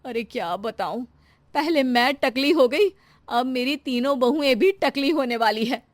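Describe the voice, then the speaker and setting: A woman voicing a fretful, sharp-tongued middle-aged housewife in an animated story, complaining dramatically. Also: high voice, measured pace, no echo. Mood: distressed.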